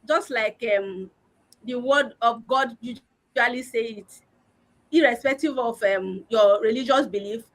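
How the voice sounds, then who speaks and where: A woman speaks on a video call with a bright, clear edge to her voice. She talks earnestly and personally as a panelist in an online discussion, pausing on frequent filler words. Her voice sits high. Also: rough recording. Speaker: learning and development head. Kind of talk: earnest motivational talk.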